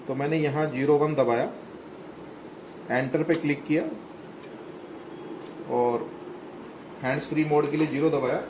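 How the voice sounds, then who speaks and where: A man's voice: a little high, background noise. A middle-aged man giving a step-by-step product demonstration, explaining plainly with a few hesitations, indoors beside the equipment. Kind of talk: product walkthrough demo.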